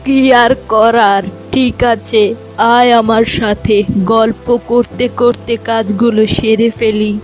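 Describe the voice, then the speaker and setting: Woman as an old village granny, fairly high voice, unhurried, homely, fond, cartoon, faint music. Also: little pitch movement, poor audio. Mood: resigned. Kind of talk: children's fairy tale cartoon dialogue.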